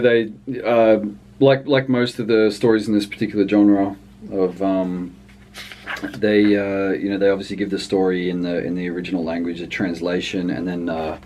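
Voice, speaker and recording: A man's voice: fairly low pitch, darkish tone, a little hiss or hum. A man giving a casual, unscripted review in a hesitant, rambling way, often trailing off mid-thought. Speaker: language book reviewer.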